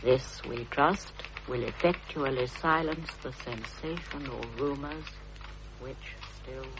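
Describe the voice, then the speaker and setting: Slow, solemn narrator. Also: male voice, medium pitch. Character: sober male narrator recounting a notorious case. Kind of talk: formal dramatised book reading. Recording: noisy, dull old radio recording.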